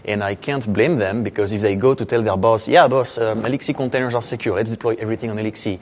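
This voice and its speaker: A man's voice: lowish voice, steady tone, fast talker, slight background noise. A man with a thick French accent giving a conversational technical conference talk, with many fillers and dry self-deprecating jokes. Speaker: conference speaker. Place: conference hall.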